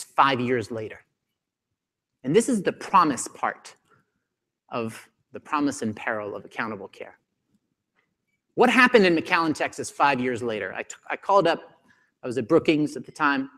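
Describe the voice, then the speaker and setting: A man in his forties giving a keynote talk on a conference stage, animated and acting out the voices of doctors, on a lapel mic. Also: mid-range voice, in a large hall. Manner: animated, acts out doctors' voices, gestures a lot. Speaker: keynote speaker. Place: large conference hall stage.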